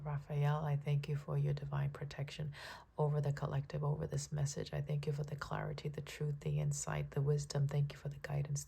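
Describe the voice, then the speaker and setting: A woman with a raspy, froggy early-morning voice, giving a spiritual tarot reading with spoken prayers in a calm, soft-spoken way that often checks in with listeners. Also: low voice. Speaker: tarot reader.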